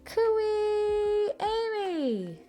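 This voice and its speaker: An eager little boy from a storybook, voiced by a woman. The pitch is lifted and swings widely as he pleads with drawn-out, insistent emphasis. Soft music plays underneath. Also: very animated, heavily acted. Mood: calling out.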